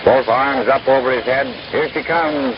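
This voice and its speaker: Through loud noise and a dull, scratchy vintage broadcast comes an American man announcing baseball. His pitch is middling for a man. He gives folksy, wry running commentary in the manner of 1930s and 40s radio. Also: fairly even intonation, normal speed.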